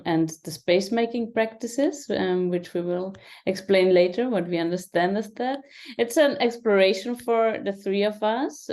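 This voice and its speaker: A woman's voice: medium pitch, darkish tone. A woman PhD candidate introducing a conference session on a video call, hesitant with many ums. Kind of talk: conference presentation. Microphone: computer mic on video call.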